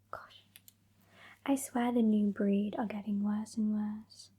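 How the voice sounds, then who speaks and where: A woman's voice: mid-range voice, flat delivery, drawn-out words. Woman playing a whispering, sassy British vampire lady. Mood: disdainful. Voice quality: whispery, breathy. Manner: condescending, exasperated, softly scolding. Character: sassy, haughty old female vampire scolding her fledgling. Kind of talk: whispered ASMR roleplay.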